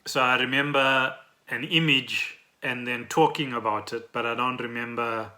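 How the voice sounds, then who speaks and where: A man's voice: mid-range voice, steady tone, normal speed, pushed and bright. A middle-aged South African man co-hosting a podcast, chatting casually and asking questions. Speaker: podcast co-host.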